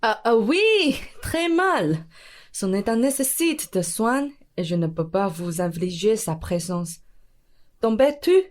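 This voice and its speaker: A woman's voice: medium pitch, sing-song, slow pace, slight background noise. A woman playing a bungling male thief posing as a Spanish duke in a student radio play, hesitant and stammering, then effusive and bossy. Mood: commanding.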